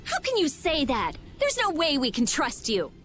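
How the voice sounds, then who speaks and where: An actress playing a calm, dry-humored young woman fighter in a video game cutscene. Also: sits high, normal speed, faint music behind, full character voice. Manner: cool and dry.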